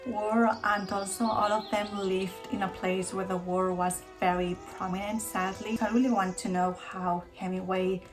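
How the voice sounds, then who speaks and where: Spanish accent woman rambling happily about books, mid pitch, music going behind her. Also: flat delivery, moderate pace, few pauses, low-quality recording. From Spain. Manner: chatty and enthusiastic, often rephrasing mid-sentence. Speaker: book vlogger. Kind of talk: casual book haul talk to camera.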